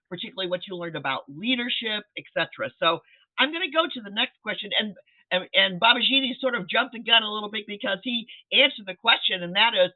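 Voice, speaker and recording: A man moderating an online panel, upbeat and enthusiastic as he introduces the speakers. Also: high voice. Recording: tinny video-call audio.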